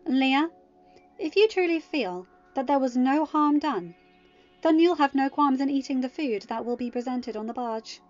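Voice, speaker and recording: A woman voicing a composed goddess queen who speaks in a dry, measured, quietly cutting way. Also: high-pitched, expressive, voice pushed up. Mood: cool. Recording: muffled audio with soft music.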